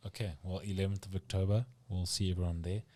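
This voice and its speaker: A man hosting a podcast, asking relaxed, friendly questions in casual chat. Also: sits low, quick pace, no echo. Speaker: podcast host.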